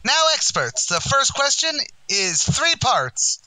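A man's voice: very high voice, wildly swooping pitch, takes it slowly, bright, forceful tone, a little hiss or hum, heavily acted, rough recording. A man playing a blustering, wisecracking tavern manager who acts the grand quizmaster in a radio comedy. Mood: breezy, officious. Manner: mock-grand quizmaster, malapropisms. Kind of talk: old-time radio comedy acting.

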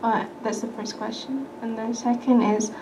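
A woman's voice: a little high, steady tone, normal speed, darkish tone. A woman in the audience asking questions hesitantly, with many fillers, heard from across the room. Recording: distant, dull, faint noise.

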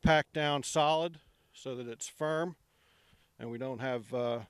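A middle-aged man giving a relaxed walking tour of an outdoor construction site, speaking into a handheld microphone with many ums. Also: mid-range voice, takes it slowly, clean tone, dry recording.

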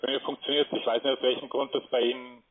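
A man's voice: medium pitch, little pitch movement, quick pace, breathy edge. A man working as a call center agent, polite and hesitant, full of fillers as he repeats details back. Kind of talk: phone call. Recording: phone-line audio.

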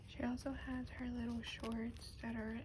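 Female ASMR creator, slightly high soft voice, breathy near-whisper, casual and gentle delivery, noticeably noisy lo-fi recording. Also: flat delivery, moderate pace. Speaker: doll ASMR video creator. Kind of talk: soft-spoken ASMR show-and-tell. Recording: clear background noise, lo-fi ambience.

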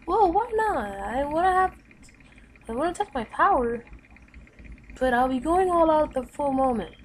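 Woman playing brash male anime fighter, raised pitch, loud and bold, game roleplay, noisy recording. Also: animated, moderate pace. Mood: eager, friendly. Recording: clearly noisy home recording.

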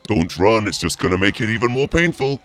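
A man commentating on a game in a theatrical, mock-menacing, sweary way, his voice pitched down by a voice changer. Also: big pitch swings, brisk, a bit bright. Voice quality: deep, gravelly, through a voice changer. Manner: theatrical mock-menacing taunts mixed with muttering. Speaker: streamer playing a game as the killer.

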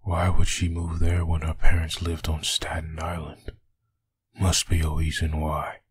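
A man playing a brooding, menacing undead vigilante in a comic dub, with a raspy voice and slow, grim delivery. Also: completely flat delivery, slight background noise. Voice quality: deep, raspy.

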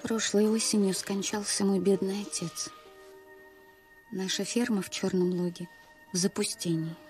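A woman's voice: mid-range voice, steady tone, moderate pace, a little mellow, lightly acted. A woman playing a genteel, lonely, devoted young woman in a dubbed film drama, speaking formally and earnestly. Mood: sorrowful pleading. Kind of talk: dramatic film dub, dialogue and letter narration. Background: film music.

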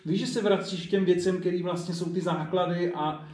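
A man's voice: high-pitched, steady tone, darkish tone. A man in his forties hosting a casual interview, informal and often searching for words. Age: 40s. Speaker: interviewer, host. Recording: echoey room.

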